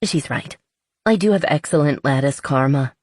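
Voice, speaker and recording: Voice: mid-range voice, animated, normal speed, darkish tone, no room sound, studio quality, lightly acted. Narrator voicing a playful, confident young woman. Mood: playful teasing. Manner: teasing, upbeat.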